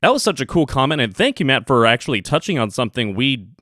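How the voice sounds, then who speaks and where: A man's voice: mid-range voice, big pitch swings, fast talker, pushed and bright, no echo, studio quality. A man co-hosting a music podcast, chatting casually, reading listener comments and plugging the show. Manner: upbeat, reads listener comments and show plugs. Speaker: podcast co-host.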